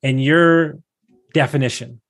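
A man's voice: a little high, fairly even intonation, a little slow, vocal fry, fairly bright tone. A man hosting a casual interview, talking in a blunt, informal way and swearing freely. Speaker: podcast host, interviewer.

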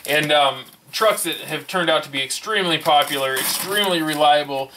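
A man's voice: a little high, brisk, background noise. A middle-aged man in a hobby workshop, unboxing parts on a casual vlog and enthusiastically calling everything super stoked. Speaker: RC hobby vlogger. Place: indoor hobby workshop bench. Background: package crinkling and clicks.

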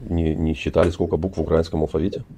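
A man's voice: a bass voice, level tone, fast, mellow, husky. A middle-aged man on a webcam video chat in a room, asking questions with a feigned naive manner. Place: indoor room.

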